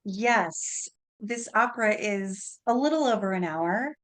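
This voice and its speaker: A woman answering as a radio interview guest, explaining her work in a deliberate, thoughtful way. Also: medium pitch, animated, low-quality recording. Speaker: interview guest, opera librettist.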